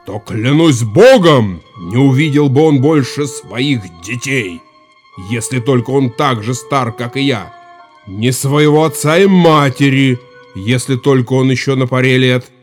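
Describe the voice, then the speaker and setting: An actor playing a grieving, stern old Cossack chief in an audio drama, speaking with solemn, mournful authority. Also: male voice, mid-range voice, natural voice. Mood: vengeful grief. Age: older.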